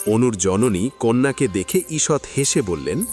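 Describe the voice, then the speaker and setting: A man narrating a classic story in an audio drama, with expressive, dramatic storytelling. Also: medium pitch, measured pace, soft background music.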